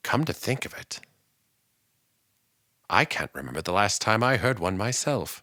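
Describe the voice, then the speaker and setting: A man playing a weary but resolute invasion survivor, speaking haltingly in a radio drama monologue. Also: on the low side, sing-song, bright, forceful tone. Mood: reflective. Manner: halting, pausing, deliberate.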